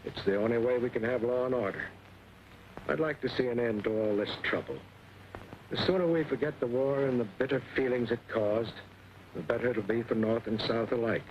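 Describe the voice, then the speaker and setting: An actor playing a dignified army colonel, speaking with measured authority in an old western. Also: male voice, medium pitch, close to an everyday voice. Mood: firm, conciliatory. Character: dignified, firm army colonel, a father seeking peace. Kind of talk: 1940s western film acting. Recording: muffled, hissy old film soundtrack.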